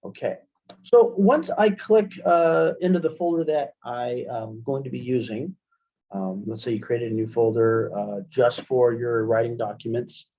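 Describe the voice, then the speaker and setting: A middle-aged man leads an online software tutorial with a mellow, relaxed tone at a medium pitch. He explains step by step in a halting way. The headset sound is dull and lo-fi. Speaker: webinar presenter, college media specialist. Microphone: headset microphone on video call. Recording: dull, lo-fi webinar headset audio.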